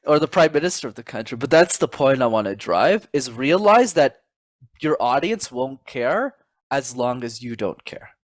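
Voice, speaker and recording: A man guesting on a podcast as a public speaking coach, talking in an animated, motivational way and often calling the host by name. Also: mid-range voice, normal speed, no room sound, studio quality. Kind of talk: casual interview chat.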